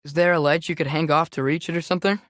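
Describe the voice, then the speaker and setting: A man's voice: fairly high pitch, steady tone, fast talker, a bit bright, dry recording, lightly acted. A young male actor voicing an earnest, curious teenage boy adventurer in a video game, tentative but eager in a naturalistic style, recorded dry and close. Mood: helpful, unsure.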